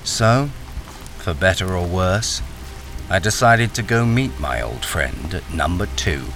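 A man's voice: deep voice, animated, slow pace, a bit bright, faint music behind, full character voice. An actor playing a weary, wary ex-soldier and miner who tells his own story in a radio crime drama. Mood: brooding, subdued. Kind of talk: radio crime drama, first-person narration and dialogue.

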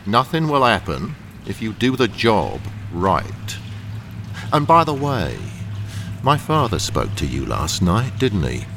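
Low, creaky, menacing crime boss played by a man. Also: wildly swooping pitch, takes it slowly, a bit bright, slight background noise, full character voice. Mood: dismissive. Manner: smoothly threatening, commanding. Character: cold, menacing male criminal boss running a mine. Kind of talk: radio thriller acting.

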